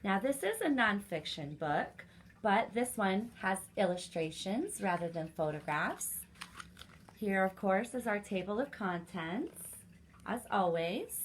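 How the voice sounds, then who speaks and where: A woman hosting a children's story time, reading aloud and chatting to young listeners directly, in an animated manner. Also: mid-range voice, measured pace, a little hiss or hum.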